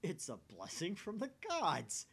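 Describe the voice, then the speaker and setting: A man's voice: wildly swooping pitch, a little slow, creaky, fairly bright tone, slightly rough, full character voice. A man voicing a grandiose, bossy young self-styled mad scientist with theatrical, pompous declarations for a visual novel. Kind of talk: visual novel character acting. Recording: faint rumbly background noise.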